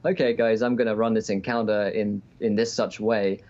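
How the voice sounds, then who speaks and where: Guy calling in to an interview, lowish voice, explaining stuff casually, dull lofi call sound. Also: little pitch movement, moderate pace, a little mellow. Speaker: interview guest, game master.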